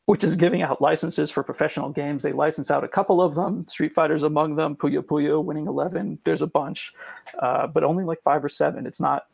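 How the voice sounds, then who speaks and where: A man's voice: on the high side, fairly even intonation, brisk, gravelly, a little mellow, slightly rough, dry recording. A man speaking as a podcast guest, explaining at length in a casual way, with frequent ums and self-corrections. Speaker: guest expert.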